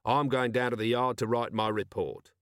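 A man's voice: mid-range voice, steady tone, moderate pace, dry recording, clean studio sound, close to an everyday voice. A man voicing a weary, exasperated middle-aged commander of a city watch, tired and grumbling.

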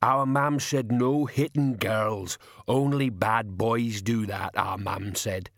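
A man voicing a huge, simple, childlike male thug with a deep voice. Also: steady tone, slow, a bit bright, no room sound, clean studio sound. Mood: sulky.

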